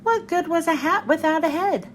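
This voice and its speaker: A woman's voice: very high voice, normal speed, a little hiss or hum. A woman hosting a read-aloud and reading a children's storybook to young listeners.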